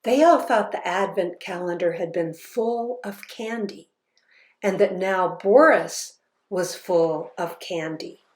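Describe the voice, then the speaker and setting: Slow, expressive woman reading aloud. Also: lowish voice. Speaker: author reading her book aloud. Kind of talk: children's read-aloud. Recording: roomy echo.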